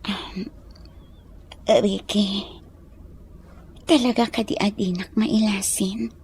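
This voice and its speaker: A woman acting a wistful woman in love in a Filipino radio drama, soft and breathy, with an Ilocano accent and a hesitant manner. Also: medium pitch, steady tone, slow pace, a little hiss or hum. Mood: troubled. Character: earnest, wistful woman in love named Paula.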